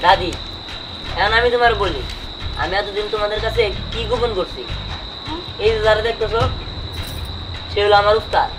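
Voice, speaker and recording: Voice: medium pitch, normal speed, long pauses, background noise, natural voice. An actor playing a clever, confident child magician's apprentice, explaining in a declamatory way in a rural Bangladeshi dialect. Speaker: actor in a family comedy film. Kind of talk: staged folk-tale film dialogue. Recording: music bed under dialogue.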